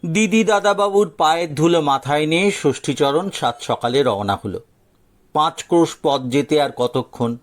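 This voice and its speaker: A man narrating a scripted audio story dramatically, with theatrical, suspenseful pauses. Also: fairly high pitch.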